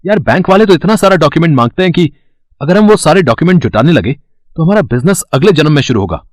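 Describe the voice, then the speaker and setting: A man voicing an anxious, excitable young man who talks casually and dramatically to his friend in an audio story. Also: fairly high pitch, fast talker, no echo, clean studio sound, voice pushed up. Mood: worried. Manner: colloquial, worried, dramatic.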